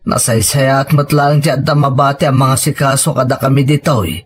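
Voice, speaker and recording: A man playing an ailing, stroke-weakened husband and father in an Ilocano audio drama, plaintive and halting, with a Filipino accent. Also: medium pitch, fairly even intonation, husky, natural voice. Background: music.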